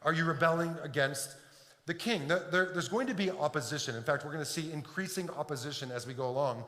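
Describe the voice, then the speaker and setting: A man's voice: fairly high pitch, fast, pushed and bright. A middle-aged man from Detroit preaching a sermon in a church, earnest, often repeating words as he builds a point. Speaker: pastor. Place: church sanctuary. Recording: echoey hall with long reverb.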